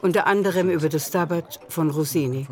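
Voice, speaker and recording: A woman's voice: low-pitched, brisk, darkish tone. A woman narrating a literary radio play in a scripted, storytelling style.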